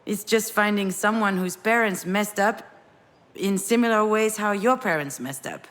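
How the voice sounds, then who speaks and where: A woman's voice: medium pitch, normal speed, fairly bright tone, natural voice. An actress playing a vulnerable, wry, grieving woman writer in a narrative video game, reflective and confiding in a naturalistic style. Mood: gentle, wry.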